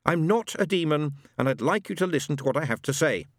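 Brief: fussy genteel angel, put on by a male narrator, measured and courteous. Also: on the high side, lively intonation, no room sound, clean studio sound, voice pushed up. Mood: prim. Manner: fussy, elaborately polite. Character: prim, genteel male angel, refined English gentleman.

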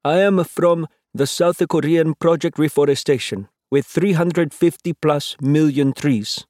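Man with a fairly high voice announcing. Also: a little slow, darkish tone, no room sound. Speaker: representative. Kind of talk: scripted roll-call reading.

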